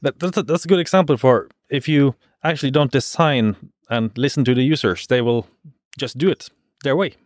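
A man's voice: medium pitch, dry recording, studio quality. A man from Norway, a podcast guest, explaining a work story with many halts and restarts. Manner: halting, with many restarts and fillers. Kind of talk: casual podcast chat.

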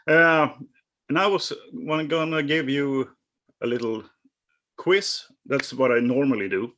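A Swedish man hosting a podcast, chatting in English with a Swedish accent, halting with many fillers and restarts. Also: medium pitch, fairly even intonation, a bit bright, slight background noise. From Sweden. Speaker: podcast host. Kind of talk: casual chat. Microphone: remote call.

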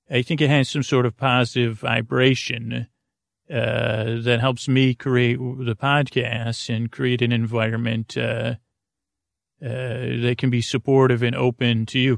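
A man's voice: mid-range voice, creaky, no room sound, studio quality. A man hosting a podcast in a rambling, casual monologue, hesitant, often trailing off and doubling back. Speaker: podcast host.

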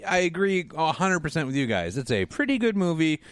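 A man's voice: a little high, very animated, a little fast, smooth, no room sound. A man co-hosting a podcast, chatting casually about movies and trailing off with fillers. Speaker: podcast co-host.

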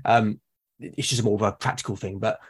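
A man's voice: lowish voice, fairly even intonation, brisk, breathy edge. A man in a conversational interview as a podcast guest, explaining his creative process and often repeating words as he thinks aloud. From Britain.

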